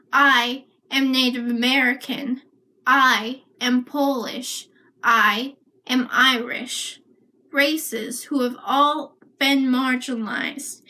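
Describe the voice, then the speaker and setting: A young woman recites her own poem with a slow, deliberate delivery. Her voice sits high and sounds bright and clear. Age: young adult. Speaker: student poet.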